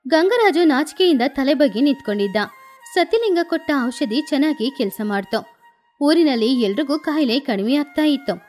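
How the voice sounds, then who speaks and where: A woman narrates a scripted moral story in a high voice. She works as a storyteller, reading the tale over faint background music. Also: expressive, normal speed, a little mellow.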